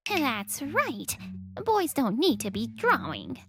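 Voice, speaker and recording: Controlling elderly matriarch, played by a woman, imperious, slow, swooping high-pitched delivery for a manga dub. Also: sing-song, a bit bright, faint music behind, full character voice. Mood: scolding. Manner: imperious, scolding. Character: haughty, controlling old mother-in-law.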